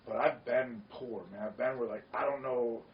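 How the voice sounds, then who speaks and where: A man's voice: medium pitch, steady tone, normal speed. A man with a nasal Michigan accent, chatting earnestly as a podcast guest. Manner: earnest, conversational, frequent agreeing. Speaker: podcast guest, wellness center owner. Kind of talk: casual chat. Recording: distant, dull lo-fi sound with faint noise.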